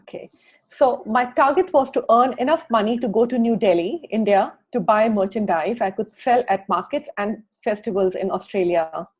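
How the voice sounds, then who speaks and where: A woman co-hosting a book club, reading aloud from a book and adding informal comments in a husky voice. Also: medium pitch, normal speed, rough recording.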